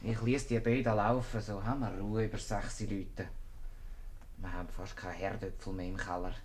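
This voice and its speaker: An actor playing a bossy jail bailiff with a Swiss German accent in a 1950s radio comedy, gruff and dryly mocking. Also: male voice, on the low side, little pitch movement, moderate pace, darkish tone, close to an everyday voice. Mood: dutiful. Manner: gruff ordering, dry mockery.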